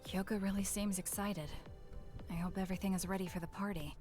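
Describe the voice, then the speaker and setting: Reserved young heroine acted by a woman: medium pitch, blunt, deadpan, video game dub. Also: brisk, faint music behind, lightly acted. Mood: mild. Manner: deadpan, understated.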